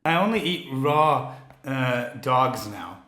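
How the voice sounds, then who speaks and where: A man co-hosting a podcast in casual chat, relaxed and wry, with frequent fillers. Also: mid-range voice, slow pace, reverberant. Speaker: podcast co-host.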